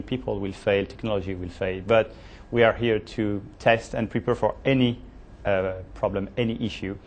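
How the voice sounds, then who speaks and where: A man's voice: fairly low pitch, darkish tone, slight background noise. A man speaking as a company executive in an interview, emphatic with somewhat uneven grammar. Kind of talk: interview statement.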